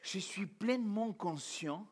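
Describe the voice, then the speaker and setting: A male pastor from Argentina, native in Spanish, speaks in a high-pitched voice. He preaches informally and interactively, addressing his listeners directly with animated rhetorical questions. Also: slow pace, husky. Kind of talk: informal interactive sermon to a congregation.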